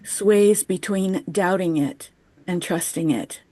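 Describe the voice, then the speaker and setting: Woman, 50s, very slow pace, reflective chapel talk, poetic, dry video-call mic. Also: fairly low pitch, dark tone, no echo. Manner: measured, poetic, unhurried delivery. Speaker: chapel speaker. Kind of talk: reflective personal chapel talk, read aloud. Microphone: video call microphone.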